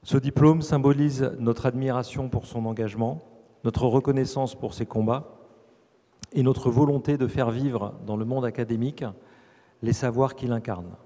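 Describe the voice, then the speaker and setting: Solemn, mellow university president. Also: male voice, medium pitch, fairly even intonation. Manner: solemn, measured, formal. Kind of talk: formal ceremonial address. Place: ceremony hall. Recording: long reverb in a big hall.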